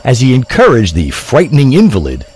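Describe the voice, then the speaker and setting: Very animated male storyteller. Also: medium pitch, a little fast, husky. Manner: theatrical, wordy storytelling. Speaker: narrator. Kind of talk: scripted audio drama narration.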